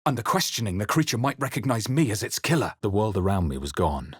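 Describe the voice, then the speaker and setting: A man voicing a wary, brooding adventurer hero in a fantasy video game, narrating in the first person with dramatic, measured intensity. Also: lowish voice, sing-song, breathy edge, dry recording, studio quality. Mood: tense, brooding. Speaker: video game player character voice.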